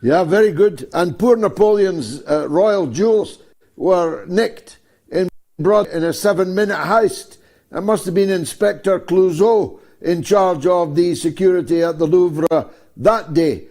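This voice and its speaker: Slow, dark-voiced talk show host. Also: male voice, high-pitched. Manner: wry, drawn-out delivery with frequent fillers. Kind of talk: radio talk show hosting.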